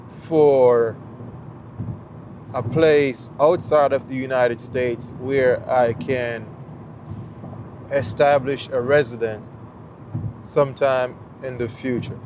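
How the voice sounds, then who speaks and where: A man's voice: mid-range voice, takes it slowly. A middle-aged man with a Jamaican accent, chatting casually to viewers while driving, rambling through a story with lots of fillers. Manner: rambling, relaxed storytelling with many fillers and restarts. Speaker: vlogger. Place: inside a car on a highway. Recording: car and road noise in the background.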